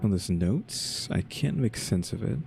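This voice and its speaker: A man's voice: fairly low pitch, expressive, unhurried, creaky. A man doing casual let's play commentary, laid-back and musing aloud as he plays. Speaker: gaming streamer. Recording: game music under the voice.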